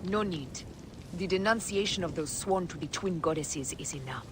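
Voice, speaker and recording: A woman's voice: on the low side, normal speed, background noise, natural voice. An actress playing a stern, resolute woman of ancient Egypt who serves a queen, formal and commanding in a video game drama. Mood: cold resolve.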